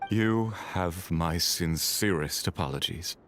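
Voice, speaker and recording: A man's voice: deep voice, slow pace, fairly bright tone, a little hiss or hum. A man playing a stern, dutiful guard in a video game, speaking in a formal, grave and cautious way. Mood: apologetic.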